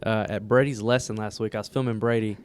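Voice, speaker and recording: A young Southern man with a Southern US accent, co-hosting a casual golf podcast chat, animated and quick to laugh. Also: fairly low pitch, clean tone. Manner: laughs often, animated storytelling. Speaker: podcast co-host.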